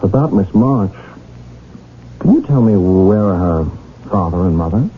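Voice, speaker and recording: An actor playing an earnest, lovestruck young gentleman in a radio drama, speaking formally and ardently. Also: low voice, animated, a little slow, a little hiss or hum. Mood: earnest, determined. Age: young adult. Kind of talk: radio drama acting with first-person narration. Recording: muffled vintage radio recording, music behind.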